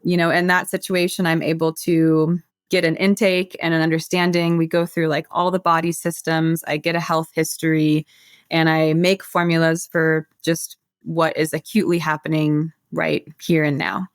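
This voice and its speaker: A woman's voice: low-pitched, flat delivery, normal speed, smooth, no echo, studio quality. A woman from the United States, a guest herbalist, explaining her practice in an earnest, flowing way with frequent filler words. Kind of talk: casual podcast interview.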